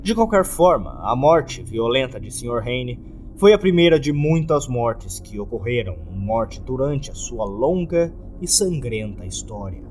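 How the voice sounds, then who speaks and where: A Brazilian man voicing a gloomy, courteous hotel keeper in a formal, measured, scripted horror narration. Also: medium pitch, big pitch swings, a little mellow, slight background noise. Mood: ominous, formal. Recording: music under the voice.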